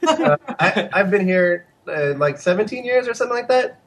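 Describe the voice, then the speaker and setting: A man, a guest editor, joking in a deadpan, self-mocking way during a casual chat. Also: high voice, normal speed, low-quality recording.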